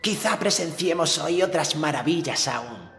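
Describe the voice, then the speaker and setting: Brief: stately old silver elder, read by a male narrator, gravelly, middle pitch, faint music behind. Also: animated, unhurried, fairly bright tone. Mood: solemn. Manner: stately, declaiming. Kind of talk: audiobook character voice.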